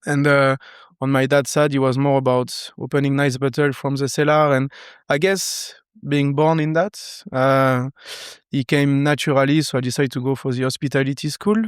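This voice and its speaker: French man, smooth dry voice. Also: medium pitch, no room sound. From southern France. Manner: relaxed, thoughtful, halting with many fillers. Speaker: guest, wine professional. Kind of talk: casual interview talk.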